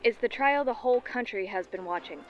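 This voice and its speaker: A woman acting a composed television news anchor in an audio drama, with formal, measured announcing. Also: fairly high pitch, expressive, natural voice. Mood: formal, serious. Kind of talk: scripted newscast delivery in audio drama.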